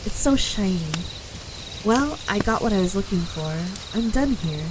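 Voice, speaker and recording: A confident, friendly forest witch, played by a woman, talks straight to the listener in an ASMR roleplay. She has a mid-pitched voice and a reassuring, chatty manner, proud of her craft. There is clear background noise with crickets. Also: lively intonation, moderate pace, lightly acted.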